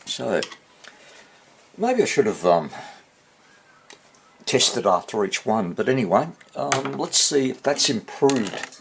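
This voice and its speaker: A man with a husky voice giving a casual hands-on repair demonstration, half muttering to himself as he works. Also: mid-range voice, very animated, slow, pauses often, background noise. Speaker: hobbyist radio repairer.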